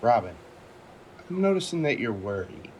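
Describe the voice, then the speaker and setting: A an actor as a frank, supportive man with a medium-pitched voice. He talks casually and sincerely in a natural audio-drama style. Faint ambience is behind him. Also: sing-song, normal speed, darkish tone, slight background noise, close to an everyday voice. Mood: reassuring. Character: frank, supportive man in self-help group. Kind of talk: naturalistic audio fiction acting.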